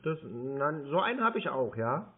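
A man on a phone call who plays along as a card holder, calmly reading out numbers one digit at a time. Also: a little high, normal speed. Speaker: caller posing as a card holder. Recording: narrow phone line.

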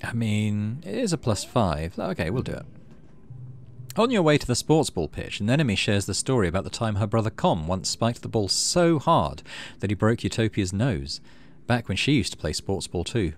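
Casting: male gaming host, easygoing, highly animated intonation, reads on-screen text and muses aloud, slight background noise. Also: normal speed. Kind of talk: casual game playthrough, reading text aloud.

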